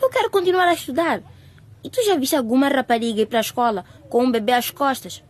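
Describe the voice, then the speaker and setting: A woman's voice: sits high, lively intonation, fast talker, breathy edge. A woman acting in a radio drama as an anxious, distressed teenage schoolgirl, earnest and pleading. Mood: pleading, defiant.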